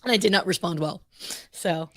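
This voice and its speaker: A woman with a raspy voice chatting over a video call, giggly, often trailing off mid-sentence. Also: on the low side, moderate pace, no room sound, studio quality. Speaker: podcast guest. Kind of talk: casual chat between friends.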